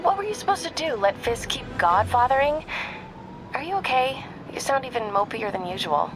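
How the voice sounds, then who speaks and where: A woman's voice: fairly high pitch, animated, normal speed, lightly acted. An actress playing a supportive young woman reporter who teases her friend, in video game dialogue over game music. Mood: teasing. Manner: teasing, supportive.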